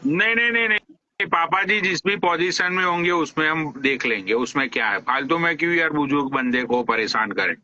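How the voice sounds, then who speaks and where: A high, very bright and tense male voice, ribbing his guest with crude jokes and put-on respect. He swears freely. The sound is dull and lo-fi, like a live stream. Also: lively intonation, moderate pace.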